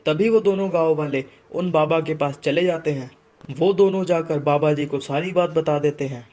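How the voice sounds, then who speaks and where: A man's voice: on the high side, normal speed, a little mellow. A man narrating a scripted moral story in a dramatic storytelling style.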